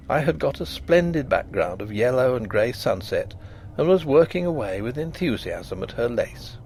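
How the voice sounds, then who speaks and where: Man, storyteller, gothic narration, measured, gravelly, animated pitch. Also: a little hiss or hum.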